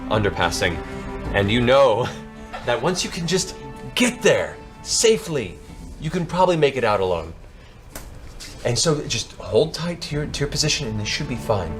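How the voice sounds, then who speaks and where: A man narrating a tabletop fantasy game as game master, improvising theatrical descriptions with deliberate pauses. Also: mid-range voice, lively intonation, a bit bright. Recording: music bed behind the voice.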